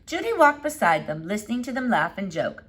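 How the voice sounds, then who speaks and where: Woman reading a kids chapter book out loud, super lively intonation. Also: mid-range voice, fairly quick, breathy edge. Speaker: storybook reader. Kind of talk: expressive children's book read-aloud.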